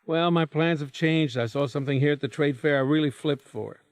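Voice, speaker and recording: An American man playing a slick, evasive traveling salesman husband in a radio drama, with a brisk, persuasive manner. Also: a little high, dry recording, natural voice. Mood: breezy. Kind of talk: naturalistic radio drama acting.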